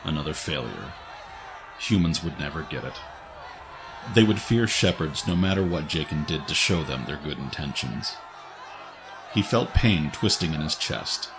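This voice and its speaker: A man's voice: a bass voice, animated, moderate pace, crowd noise, noisy background. A man reading scripted, dramatic story narration as the narrator of an audio drama. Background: faint music.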